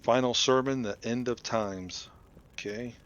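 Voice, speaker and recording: A man's voice: mid-range voice, fairly even intonation, a little slow, muffled recording, a little hiss or hum. A man giving casual gameplay commentary as host of a playthrough, talking informally and thinking aloud. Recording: loud music behind the voice. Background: game music.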